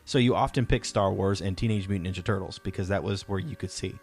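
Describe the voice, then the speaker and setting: A man's voice: low-pitched, fast talker, vocal fry, darkish tone, husky. A man in his thirties, a father hosting a podcast, casually telling personal stories to his children. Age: 30s. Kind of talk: casual chat, personal storytelling.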